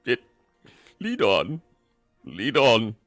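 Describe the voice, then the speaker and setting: A man's voice: medium pitch, huge pitch swings, very slow, a bit bright, no room sound, faint music behind, full character voice. A man playing a humbled, fearful old miser in a radio play, earnest and dramatic. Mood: fearful, resolved.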